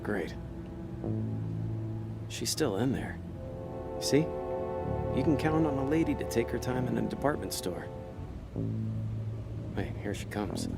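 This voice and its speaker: A man's voice: medium pitch, lively intonation, slow, vocal fry, pauses often, loud music behind the voice. An actor playing a laid-back con man who narrates a noir audio drama in a wry, slangy, hard-boiled way. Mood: scheming, suspicious. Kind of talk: noir audio drama acting with inner monologue.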